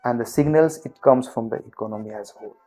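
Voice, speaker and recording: A middle-aged man from Nepal, a company finance chief, answering interview questions in a measured way with frequent restarts. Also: mid-range voice, dark tone, faint music behind. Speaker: guest, group CFO.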